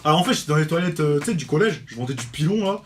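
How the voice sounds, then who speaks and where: A man's voice: on the high side, expressive, racing, background noise. A teenage male, talking slangy casual chat as a boastful mock gangster rapper, swearing freely. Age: teens. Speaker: amateur rapper in a comic duo. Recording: music playing under the voice.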